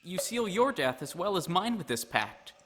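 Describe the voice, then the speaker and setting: A man's voice: high-pitched, sing-song, moderate pace, fairly bright tone, a little hiss or hum. A man playing a wronged captive prince in a fantasy radio play, earnest, impassioned and dramatic.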